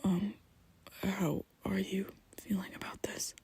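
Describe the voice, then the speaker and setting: A woman's voice: medium pitch, little pitch movement, slightly rough. A woman whispering as a shy vampire boyfriend. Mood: tender. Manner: soft whispering, hesitant and halting. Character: shy young male vampire best friend, tender. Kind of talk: ASMR roleplay.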